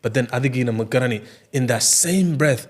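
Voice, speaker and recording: Very fast-talking man in discussion. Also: medium pitch. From Ogaden region. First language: Somali. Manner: repeats filler phrases, switches between Somali and English. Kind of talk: impassioned casual discussion.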